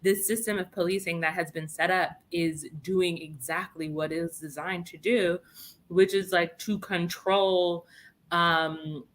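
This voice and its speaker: A young woman from Brooklyn speaks with a low, deep-set voice that still rings bright and forceful. She talks as an interview guest, earnest and impassioned, her thoughts running on in long chains. Her tone is clear and pointed. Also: steady tone, measured pace. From Brownsville, Brooklyn, New York. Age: young adult. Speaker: guest, policing reform advocate. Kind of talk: interview conversation.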